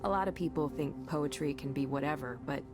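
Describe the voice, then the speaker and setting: An actress plays a young woman in a narrative game, with a lowish voice. She is chatty, curious and quick to put herself down, sounding natural and conversational. Music plays faintly behind her. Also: close to an everyday voice. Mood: thoughtful. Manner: conversational, animated, self-effacing. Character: self-deprecating, chatty, curious young woman with artistic leanings. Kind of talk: naturalistic visual novel acting.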